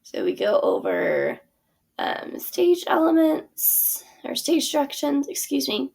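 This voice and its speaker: A woman's voice: medium pitch, vocal fry. A woman theater teacher giving a friendly instructional walkthrough of her curriculum over a screen share, with frequent ums and small self-corrections.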